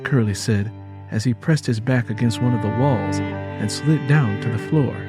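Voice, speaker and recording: A male audiobook narrator tells a story in a mid-pitched voice, with music clearly playing behind him. The delivery is scripted narration. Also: moderate pace.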